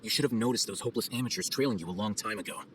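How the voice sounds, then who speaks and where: A man's voice: on the low side, racing, distant mic, slight background noise, lightly acted. A man playing an aloof, self-assured scholar in a video game, dry, detached and condescending. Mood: dismissive. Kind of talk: video game cutscene voice acting.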